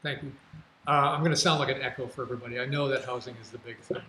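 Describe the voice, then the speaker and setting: A man's voice: mid-range voice, fairly even intonation, very fast, sharp tone. American man answering in a roomy studio. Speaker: city council candidate. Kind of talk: candidate forum answer. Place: local TV studio. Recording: roomy studio, faint background noise.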